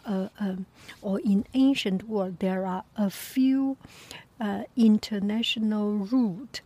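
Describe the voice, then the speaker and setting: Brief: woman, whispered storytelling, slow and hushed, mellow breathy tone. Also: medium pitch. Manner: soft whispering, halting with many pauses.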